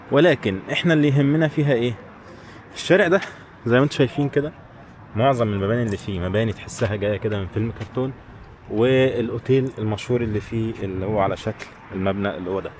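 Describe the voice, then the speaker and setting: Man, Egyptian accent, rapid-fire pace, casual travel vlog chat, direct address to viewers, noisy outdoor street recording. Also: mid-range voice, darkish tone. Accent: Egyptian Arabic. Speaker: travel vlogger. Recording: clear outdoor street and traffic noise.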